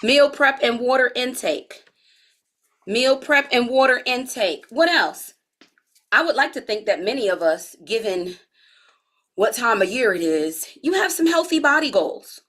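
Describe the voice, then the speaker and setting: A woman in her forties giving a live-streamed health coaching talk, chatty and relaxed, speaking straight to her viewers and using casual slang. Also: fairly high pitch, unhurried. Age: 40s.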